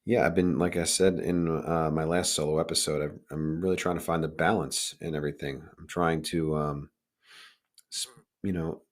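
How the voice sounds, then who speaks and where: Podcast host, male, deep raspy voice worn hoarse, talking candidly and informally on his own. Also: fairly even intonation, moderate pace. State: hoarse, recovering from lost voice. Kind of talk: casual solo podcast monologue.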